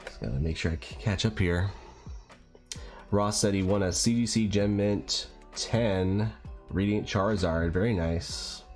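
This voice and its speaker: A man with a slightly raspy voice from a cold, chatting casually and a bit hesitantly as he hosts a livestream. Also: lowish voice, darkish tone. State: getting over a cold. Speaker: livestream host and card collector.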